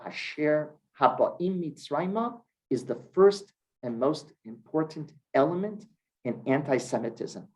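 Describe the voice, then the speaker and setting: A man from Cleveland, a rabbi teaching a congregation, who stresses key words and then drops his voice low. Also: expressive, a little slow, darkish tone, rough recording. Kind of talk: Torah class sermon. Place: synagogue hall with congregation.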